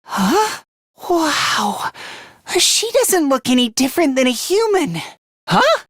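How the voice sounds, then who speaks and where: A man's voice: very high voice, very animated, takes it slowly, a bit bright, dry recording, studio quality, full character voice. A man voicing a timid, self-loathing young male student fighter in an English video game, hesitant and stammering as he puts himself down. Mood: startled, worried.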